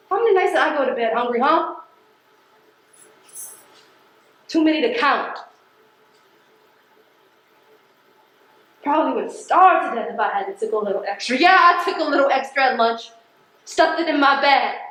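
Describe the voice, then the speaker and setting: Actress as defiant high-voiced woman, very echoey. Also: fairly bright tone. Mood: bitter, defiant. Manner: emphatic, colloquial, confrontational. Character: defiant, embittered working-class woman postal carrier from the projects. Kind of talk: stage play acting. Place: theatre stage. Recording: very echoey stage recording.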